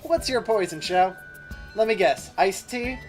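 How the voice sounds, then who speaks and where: A man's voice: high-pitched, measured pace, soft background music. A man acting an upbeat, easygoing young groom and best buddy in an audio drama, breezy and exuberant. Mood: friendly.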